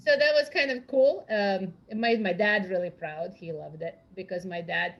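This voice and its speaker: A woman's voice: medium pitch, moderate pace, muffled recording. A woman from Croatia introducing herself on a video call, hesitant and full of fillers. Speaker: workshop participant. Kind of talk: casual self-introduction.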